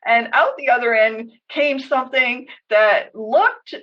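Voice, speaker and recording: Higher-voiced woman, muffled lo-fi sound. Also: slow. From Canada. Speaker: podcast co-host. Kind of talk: casual storytelling chat. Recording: dull, lo-fi band-limited audio.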